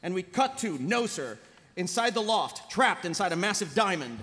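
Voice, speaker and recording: A woman's voice: fairly low pitch, sing-song, moderate pace, fairly bright tone. A woman narrating stage directions from a script at a live table read. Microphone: table microphone on a panel. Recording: echoey convention hall, stage microphone.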